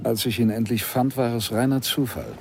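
A man's voice: medium pitch, fairly even intonation, moderate pace, vocal fry, music in the background, natural voice. An actor playing a probing, insistent male lawyer who tells his own story in a German radio play, with a dry, pressing manner. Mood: measured, observant. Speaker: radio drama actor, narrator.

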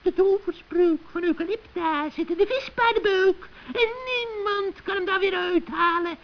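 Shrill, scheming old witch. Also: normal speed. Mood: gloating. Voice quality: shrill, cackling. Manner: taunting, mock-sweet, scornful. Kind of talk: 1960s children's radio play acting. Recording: dull, muffled old radio recording.

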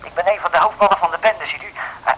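Casting: anxious underworld informant on a telephone, radio drama; fast, tense, stammering. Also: male voice, high-pitched, pushed and bright, breathy edge, exaggerated voice, poor audio. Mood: nervous, secretive. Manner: hesitant, stammering, urgent. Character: nervous male gang boss turned secret informant. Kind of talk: 1960s Dutch radio play acting.

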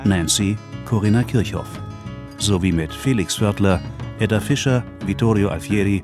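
A male radio announcer reads credits in a fairly low voice. The delivery is formal and scripted, with guitar music clearly playing behind him. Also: normal speed.

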